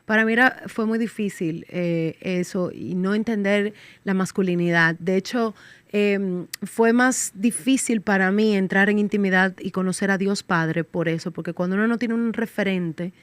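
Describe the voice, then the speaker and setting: A woman's voice: mid-range voice, normal speed, clean tone, no echo. A woman from the Dominican Republic, a podcast guest, speaking candidly in casual interview chat, reflective with wry humor.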